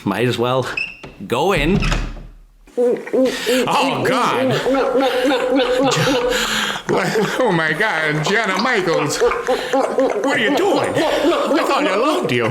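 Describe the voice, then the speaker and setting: A man's voice: high-pitched, sing-song, exaggerated voice. A man improvising an overwrought, lovesick, betrayed husband in a comic old-time radio play. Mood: smitten, dreamy. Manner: overwrought, drawn-out delivery. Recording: echoey, very loud crowd noise behind.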